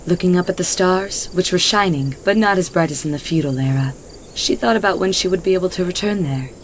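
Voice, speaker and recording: A woman's voice: fairly low pitch, a little fast, slight background noise, rough recording. A woman narrator reading a scripted story in the third person.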